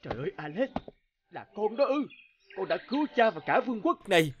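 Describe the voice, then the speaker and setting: A man's voice: high voice, big pitch swings, fairly quick, dull audio, a little hiss or hum. A man playing a fat middle-aged cartoon king, pompous, emotional and theatrical. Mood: shocked. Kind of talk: cartoon voice acting. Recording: music under the voice.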